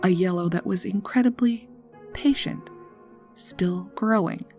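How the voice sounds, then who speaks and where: A woman reads a horror tale as its narrator. Her voice sits a little low, and her delivery is dramatic and deliberate, building suspense. Music plays faintly behind her. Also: darkish tone. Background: background music.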